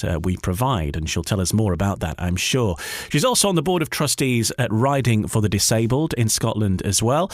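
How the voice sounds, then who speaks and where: A man's voice: lowish voice, no room sound, clean studio sound. A man hosting a conversational radio interview, politely leading into his questions at some length.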